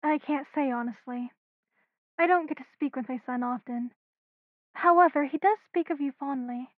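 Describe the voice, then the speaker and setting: An actress playing a gentle middle-aged queen and mother in an audio drama, affectionate and courteous. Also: sits high, normal speed, dry recording, phone-quality sound, close to an everyday voice. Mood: gentle, kindly.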